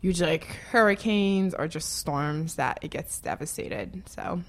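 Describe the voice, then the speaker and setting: Woman, from Haiti, interviewee, casual rambling talk, frequent laughter, somewhat low voice. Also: expressive, unhurried. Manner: rambling, laughs often, many fillers.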